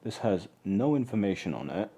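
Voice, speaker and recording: Brief: Polish-born man, low-ish voice, casual streamer, halting and muttering while he tinkers at the bench. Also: very animated, mellow, slightly rough. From born in Poland, raised mostly in England. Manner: hesitant, restarts often, murmurs to himself while tinkering. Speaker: electronics livestream host. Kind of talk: casual live stream chat while taking apart a camera.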